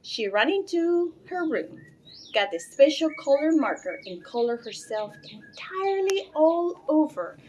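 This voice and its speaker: High-voiced, animated woman reading to children. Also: slight background noise, low-quality recording. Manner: animated, deliberate phrasing for children. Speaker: storybook reader. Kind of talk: expressive children's read-aloud.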